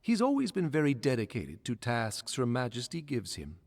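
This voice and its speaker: An actor playing the wry ghost of a former court servant in a video game, speaking in a courteous, musing, theatrical way. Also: male voice, mid-range voice, wildly swooping pitch, normal speed, darkish tone. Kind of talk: scripted video game character dialogue. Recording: long hall-like reverb.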